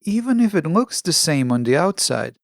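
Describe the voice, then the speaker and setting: A man hosting a podcast, delivering a scripted self-help monologue in measured, deliberate phrases, close on the microphone. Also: fairly high pitch, sing-song, creaky, dry recording. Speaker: podcast host.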